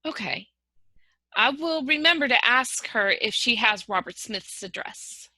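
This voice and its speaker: A woman's voice: a little high, animated, measured pace, sharp tone, dry recording, exaggerated voice. A woman playing a frank, concerned wartime confidante in a play reading, speaking in a direct, practical way. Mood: disheartened. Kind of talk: one-act play table read. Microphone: video call.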